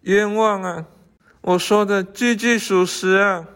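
Young man as earnest scholar, slightly high voice. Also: fairly even intonation, slow, a bit bright. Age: young adult. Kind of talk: student radio drama acting.

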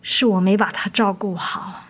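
一位女演员在广播剧中饰演操心又疲惫、爱叮咛的母亲。. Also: female voice, mid-range voice, steady tone, normal speed, slightly rough, lightly acted. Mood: sorrowful. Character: worried, weary, nagging mother of two daughters. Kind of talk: radio drama acting.

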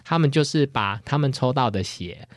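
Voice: mid-range voice, animated, moderate pace, no room sound. Joking podcast host with Taiwanese Mandarin accent. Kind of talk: casual chat and storytelling.

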